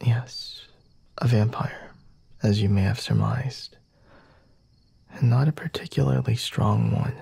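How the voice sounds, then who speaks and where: Breathy, slow-talking flirty vampire lord, acted by a man. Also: medium pitch, dry recording, heavily acted. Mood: patient, flirty. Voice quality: breathy, husky, often dropping to a whisper. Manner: unhurried, formal, softly teasing, with sighs. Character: flirty, teasing, self-assured vampire lord, male. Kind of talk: ASMR roleplay, intimate dramatic monologue.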